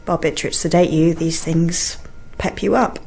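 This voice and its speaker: A woman acting in a naturalistic radio drama, playing an anxious young wife who is earnest, troubled and sometimes hushed. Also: deep voice, fairly even intonation, moderate pace, soft background music. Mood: worried, confiding.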